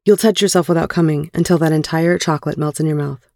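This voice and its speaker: A woman's voice: low-pitched, steady tone, fast talker, darkish tone, no echo, studio quality. A woman voicing a dominant, aroused male lover, teasing and stern, in an audio erotic story. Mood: stern, commanding.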